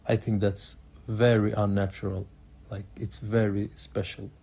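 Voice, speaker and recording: A man's voice: on the low side, flat delivery, unhurried, dark tone, husky. A man casually explaining something to camera, matter-of-fact. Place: Sweden.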